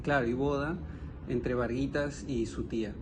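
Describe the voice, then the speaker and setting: A middle-aged man talks as a guest in a book discussion. He is reflective and hesitant, pausing to find his words at a medium pitch. There is clear ambient noise around him. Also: steady tone. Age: 50s. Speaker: guest writer commenting on a novel. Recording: noticeable background ambient noise.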